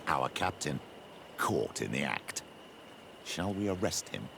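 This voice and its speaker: A man playing a gruff, dry-spoken companion in a video game. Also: rumbling low, very animated, slow pace, exaggerated voice. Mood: dry, stern. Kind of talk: video game dialogue acting. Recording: noisy outdoor ambience.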